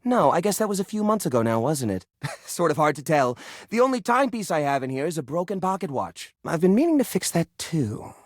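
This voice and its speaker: A man voicing an eccentric, cheerful hoarder in a video game, theatrical and excitable, rambling like a proud tour guide. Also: a little high, brisk, dry recording, studio quality. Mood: wistful, sheepish. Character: eccentric, cheerful male hoarder personifying a junk drawer. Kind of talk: animated video game voice acting.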